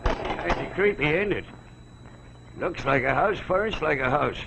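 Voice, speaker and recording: Wry working stiff, creaky high voice, grumbly and slangy, old 40s tv drama. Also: male voice, expressive, a little slow, a bit bright, slight background noise, exaggerated voice, poor audio. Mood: wry, uneasy. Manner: colloquial, sardonic. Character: wry, grumbling working-class army labourer. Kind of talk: 1940s television drama acting.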